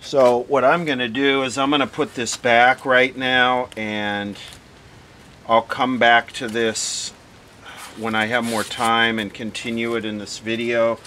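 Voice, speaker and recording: A man's voice: mid-range voice, little pitch movement, measured pace, bright, forceful tone, slight background noise. A man giving casual, unscripted hands-on commentary, rambling a little as he thinks aloud. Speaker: vlogger demonstrating vintage radio equipment.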